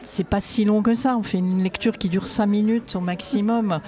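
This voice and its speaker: A woman's voice: mid-range voice, steady tone, moderate pace, mellow. A woman poet and workshop leader answering interview questions in a reflective, light-hearted way.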